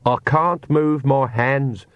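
A man's voice: medium pitch, takes it slowly, fairly bright tone, no echo, pitched above the performer's own voice. A man voicing an anxious, stubborn young witch woman with a strained, indignant manner. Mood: frightened, strained.

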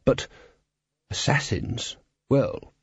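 A man's voice: extremely slow, no echo, lo-fi sound. A man voicing a timid, reluctant henchman in a storybook reading, with a heavy stammer and hesitant, polite delivery. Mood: flustered.

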